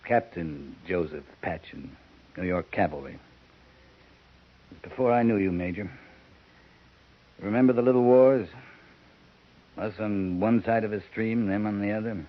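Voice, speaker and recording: Low-voiced, terse, bitter cavalry captain played by a man, old muffled radio recording. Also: a little slow, pauses often, natural voice. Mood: brooding. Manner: terse, clipped, brooding. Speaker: army captain. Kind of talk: 1950s radio drama acting. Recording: muffled 1950s radio broadcast.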